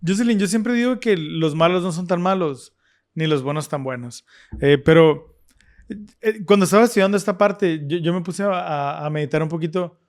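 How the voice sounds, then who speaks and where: A man's voice: fairly high pitch, normal speed. A man hosting a scripture study discussion, speaking earnestly with fillers and restarts. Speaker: podcast host.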